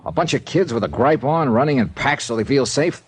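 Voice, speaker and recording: A man's voice: medium pitch, lively intonation, a little fast, breathy edge. An actor playing a blunt, hard-boiled male insurance investigator in an old radio drama, pressing questions and narrating. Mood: stern. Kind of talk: 1950s radio drama acting.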